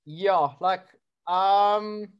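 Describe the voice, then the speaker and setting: A man's voice: high-pitched, extremely slow, clean tone, lo-fi sound. A man from South Africa, a surfer who films with drones, chatting casually in an interview with lots of fillers. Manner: relaxed, chatty, many fillers and laughs.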